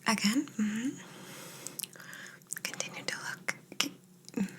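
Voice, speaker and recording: A woman playing a curious, gently clinical alien in an ASMR roleplay, whispering soft, breathy instructions in a soothing, measured way with murmured hums. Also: on the high side. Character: curious, gently clinical female alien examining an abducted human.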